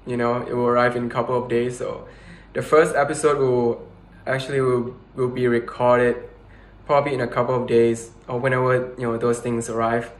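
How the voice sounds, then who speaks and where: A man's voice: mid-range voice, level tone, moderate pace, reverberant, low-quality recording. A man in his twenties hosting a casual podcast chat, giggly and rambling, with lots of fillers. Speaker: podcast host.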